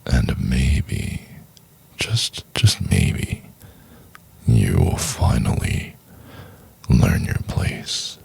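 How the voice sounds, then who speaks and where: A man playing a domineering, seductive boss in a soft-spoken, intimate ASMR roleplay, with a deep, breathy, gravelly voice and drawn-out delivery. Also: huge pitch swings, exaggerated voice. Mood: smug, mocking. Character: domineering, seductive big male boss, cruel then doting.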